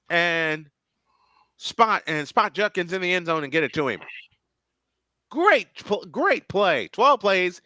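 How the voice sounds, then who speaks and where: Bright, excitable play-by-play man. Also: high voice, no room sound, clean studio sound. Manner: excited, rising calls on big plays. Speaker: play-by-play commentator. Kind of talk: live game commentary on a fan stream.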